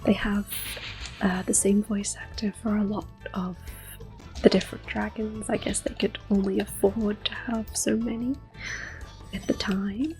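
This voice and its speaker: A woman giving soft-spoken ASMR gameplay commentary as host, often slipping into a breathy whisper, in a gentle, unhurried way. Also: mid-range voice, steady tone. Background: soft video game music.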